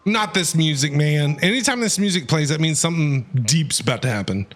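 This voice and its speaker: A male streamer reacts casually to a game with a very animated, swooping delivery. He talks in slang and teases, while game music plays faintly behind him. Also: fairly high pitch, a little fast.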